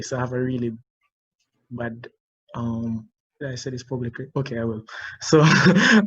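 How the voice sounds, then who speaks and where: A Jamaican man shares a personal story over a video call, lively and quick to laugh at himself. His voice sits in a middle range. The audio is a bit muffled and dull. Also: mid-range voice, slightly rough. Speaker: discussion participant.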